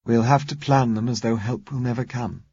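A spirited young woman, shaken yet quick to rally, speaks in clipped, brisk phrases in this audiobook reading, as a man puts her on with a raised voice. The tone stays slightly husky. The recording sounds dry and a little dull, like lo-fi. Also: steady tone, dark tone, dry recording. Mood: despairing. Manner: lifted pitch, brisk clipped delivery.

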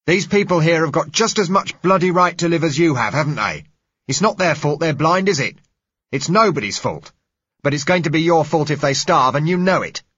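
A fiery street agitator of about thirty, as a male narrator puts him on, loud and raised well above the reader's own low voice. His speech mixes the rough and the educated, harsh and emphatic. The audiobook recording is dry and a little dull. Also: expressive, moderate pace, no echo. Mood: angry. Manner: rough yet educated, shouting passionately.

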